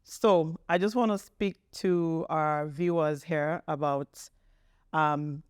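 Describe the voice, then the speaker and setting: A woman's voice: low-pitched, smooth, no room sound, clean studio sound. A woman hosting an interview podcast, introducing and addressing people in an enthusiastic, effusive way. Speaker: podcast host.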